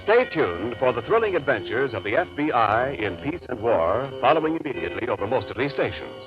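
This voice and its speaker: A man's voice: medium pitch, big pitch swings, fairly quick. A man announcing in a formal, emphatic 1940s radio style. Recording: muffled vintage radio recording with music.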